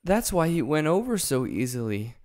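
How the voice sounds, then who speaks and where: A man's voice: on the high side, very animated, moderate pace, darkish tone, voice pushed up. A man voicing a big cowardly male lion in a storybook reading, meek and ashamed. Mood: timid.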